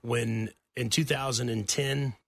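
A man's voice: mid-range voice, steady tone, unhurried, vocal fry, fairly bright tone, no echo, clean studio sound. A middle-aged man from Texas, a guest on a radio talk show, affably explaining at length.

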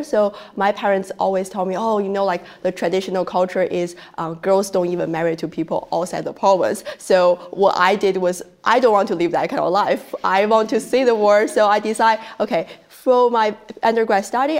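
A woman from China with a Chinese accent, whose first language is Chinese, speaking as a researcher guest in a podcast interview with many fillers and restarts. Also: medium pitch.

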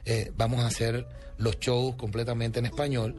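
A man's voice: mid-range voice, level tone, moderate pace, darkish tone. A man, a Colombian singer, talks expansively and with enthusiasm about his album in a radio interview. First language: Spanish. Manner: expansive, enthusiastic, lists songs. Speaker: singer guest.

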